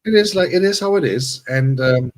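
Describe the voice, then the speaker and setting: A man's voice: on the high side, expressive, moderate pace. A man from Germany, hosting a casual livestream chat and rambling with many restarts and self-deprecating asides. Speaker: livestream host.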